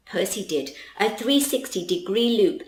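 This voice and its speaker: A woman narrates a children's storybook at an unhurried pace. Her pitch moves widely and expressively, and the recording has a roomy echo. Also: expressive.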